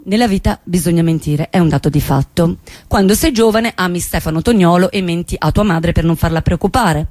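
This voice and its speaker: A woman's voice: fairly low pitch, brisk, few pauses, a little mellow, no room sound, lightly acted. An actress as a wry, lovesick woman, close dry sound. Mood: wry, resigned. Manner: dramatic, sardonic. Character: wry woman recounting her lovesick teenage years, dreamy then scornful. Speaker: stage actress. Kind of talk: theatrical monologue.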